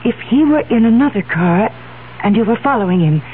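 A woman's voice: a little high, animated, fairly quick, narrow, tinny audio, natural voice. A cool, wealthy fiancée, played by a woman who speaks with controlled insistence and schemes without flinching. It is vintage radio drama, heard through a thin, faintly hissy recording. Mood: coldly scheming. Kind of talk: 1970s radio drama acting.

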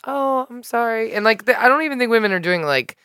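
Low-voiced woman podcast host, frank and profane. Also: expressive, fast, a bit bright, clean tone, no echo, studio quality. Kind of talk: casual podcast chat. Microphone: studio microphone.

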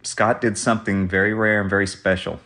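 A man giving an earnest, measured spoken tribute. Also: lowish voice, level tone, pushed and bright.

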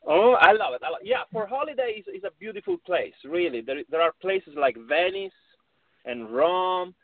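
Italian-accented man, film director guest, high and lively, emphatic, over a tinny dry phone line. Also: moderate pace, dry recording. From Italy. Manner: animated, emphatic complaints. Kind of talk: phone-in interview.

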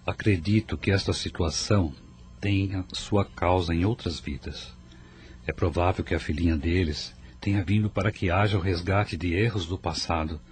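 A man's voice: low-pitched, moderate pace, lightly acted. A man playing a worried, protective middle-aged father in a radio soap opera. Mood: grave, reflective.

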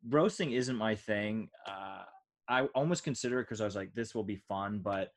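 A man's voice: lowish voice, moderate pace. An American man, a stand-up comedian, telling anecdotes casually in a rambling, self-deprecating way. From United States.

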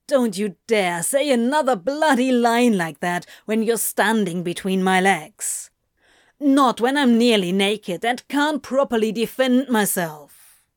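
A woman voicing an exasperated male demon in an audio story, with breathy, dramatic protests and a smitten undertone. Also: mid-range voice, animated, extremely slow, few pauses, dry recording, clean studio sound, full character voice. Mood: warning.

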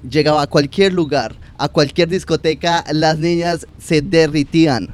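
Hombre joven anfitrión, voz algo aguda. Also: male voice, a little high, fairly even intonation. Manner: chatty, quick follow-up questions. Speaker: podcast host. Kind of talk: casual interview.

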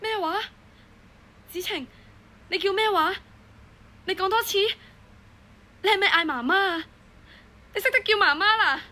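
A woman's voice: on the high side, animated, normal speed, long pauses, lightly acted. An actress playing a devoted, vulnerable young single mother in a Hong Kong Cantonese audio drama, speaking in a heartfelt way. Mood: amazed, joyful. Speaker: voice actor. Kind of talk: audio drama acting with first-person narration.

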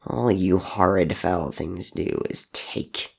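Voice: sits low, slow pace, creaky, slightly rough, full character voice. A performer voicing a wounded, defiant and protective shapeshifter father in a story, snapping with scorn. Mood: cold, bitter.

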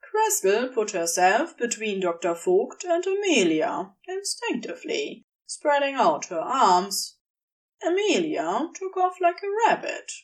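A woman narrates fiction in a fairly high voice with very animated intonation. She reads at an unhurried pace and sounds a little distant from the mic. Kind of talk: scripted story narration.

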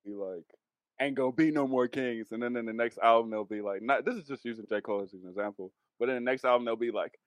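A man chatting casually on a podcast panel, excitable and full of slang. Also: medium pitch, fast talker, clean tone, dry recording, poor audio. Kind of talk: casual group podcast chat.

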